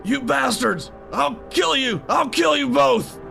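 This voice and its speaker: A man playing a wary, gravelly crook selling meat in a horror comedy audio drama. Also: very high voice, animated, slow, pushed and bright, faint music behind, exaggerated voice. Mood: frantic. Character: wary gangster meat dealer Benny, older brother's sidekick.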